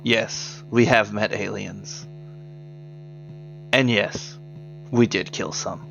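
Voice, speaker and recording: A man playing a formal, guarded government agent recruiting in a tabletop roleplay, choosing words deliberately. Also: fairly low pitch, steady tone, gravelly, pushed and bright, dull audio, faint music behind, heavily acted. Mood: grave, explanatory.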